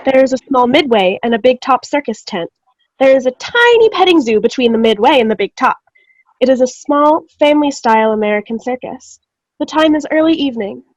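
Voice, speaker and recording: High-voiced woman narrator, muffled call audio. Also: lively intonation, brisk, dry recording. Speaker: narrator reading stage directions. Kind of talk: scripted narration. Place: indoors on a video call. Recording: dry, slightly dull video call audio.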